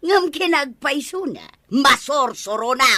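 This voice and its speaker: Nagging, skeptical village wife, sharp animated delivery, Ilocano accent, comedic radio drama. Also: female voice, high-pitched, a little slow, dry recording, heavily acted. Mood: scolding. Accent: Ilocano Filipino.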